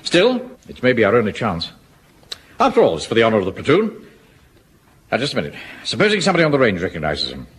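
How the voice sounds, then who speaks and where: An English actor playing a pompous, self-important middle-aged Home Guard captain in an old radio sitcom. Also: male voice, on the low side, wildly swooping pitch, fast talker, long pauses, husky, full character voice. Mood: indignant. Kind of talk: 1970s radio sitcom acting. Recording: old radio broadcast, audience laughter, hiss.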